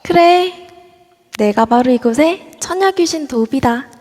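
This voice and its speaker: A woman's voice: high voice, expressive, slow, a little mellow. A woman voicing a haughty young maiden ghost who rules a haunted hotel, taunting and commanding, in an amateur audio drama. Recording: long reverb over a voice app.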